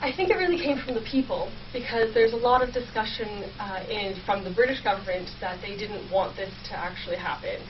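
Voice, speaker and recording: A woman's voice: mid-range voice, fairly quick, hardly any pauses, recorded from a distance. A young adult woman explaining her research informally as a guest in a radio interview. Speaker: guest researcher, history PhD student. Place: campus radio station. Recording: muffled, noisy, off-mic radio audio.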